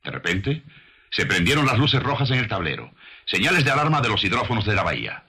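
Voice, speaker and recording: A man reading scripted documentary narration with measured, dramatic storytelling delivery, on an old film soundtrack. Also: on the low side, big pitch swings, sharp tone, a little hiss or hum.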